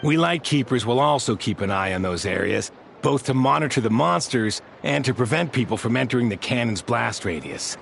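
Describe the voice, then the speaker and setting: A man playing a composed, formal soldier of a guard order, speaking in a measured, serious way in a video game. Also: mid-range voice, animated, creaky, a bit bright, slight background noise. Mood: serious, firm.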